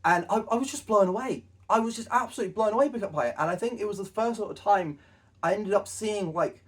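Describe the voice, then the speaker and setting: A man's voice: high-pitched, fast, breathy edge, recorded from a distance. A man co-hosting a casual chat, rambling and self-deprecating, with a lot of stumbling restarts. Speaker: co-host.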